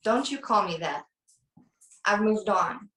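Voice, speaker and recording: A woman's voice: medium pitch, expressive, slow, fairly bright tone. Woman acting a bitter mother, distant and roomy. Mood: cold rage. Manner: bitter, menacing. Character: cold, enraged mother disowning her son. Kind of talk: film script table read. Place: remote video call rehearsal. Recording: roomy, distant video call microphone.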